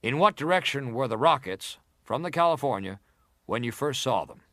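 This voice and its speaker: A senator leading a historical inquiry. He puts his questions formally and deliberately, probing for detail. His voice is of average male pitch, recorded with no room sound. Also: expressive, pushed and bright, no echo, clean studio sound. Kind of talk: dramatized reading of historical testimony.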